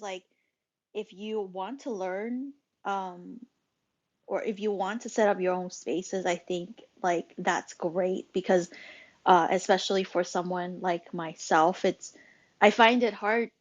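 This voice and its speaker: A woman moderates a casual online audio room at a slow pace. She thinks aloud in a halting, rambling flow. The sound is slightly dull and lo-fi, like an internet voice chat. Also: medium pitch. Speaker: host and moderator of a live social audio room. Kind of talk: casual unscripted group chat. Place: live social audio app call.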